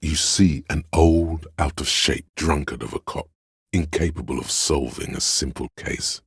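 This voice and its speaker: An actor playing the artistic inner voice of a detective's mind in a video game, lofty, critical and theatrical, narrating with deliberate, pretentious weight. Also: male voice, no room sound, studio quality, full character voice. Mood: evocative, grand. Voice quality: very deep gravelly husky bass.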